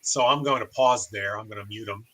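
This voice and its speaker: A man's voice: fairly low pitch, fairly quick, a bit bright, low-quality recording. An American man hosting a casual amateur radio demonstration and chatting as the operator.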